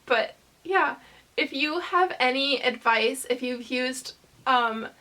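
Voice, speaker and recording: A woman vlogger chatting casually to camera, rambling hesitantly with lots of fillers and pauses. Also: sits high, pushed and bright.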